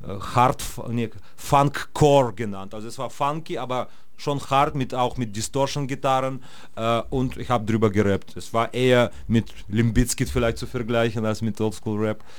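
A man's voice: mid-range voice, smooth. A man, a music producer and DJ, talking casually as an interview guest, with many fillers and restarts. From Russia. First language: Russian. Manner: hesitant, many fillers and restarts, laughs easily.

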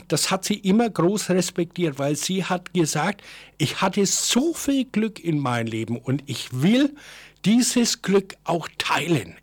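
An older man with a slightly raised pitch speaks off the cuff in an informal monologue. He searches for words, wanders into asides and corrects himself mid-sentence. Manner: halting, digressive, thinks aloud and corrects himself. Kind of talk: informal spoken tribute, unscripted monologue.

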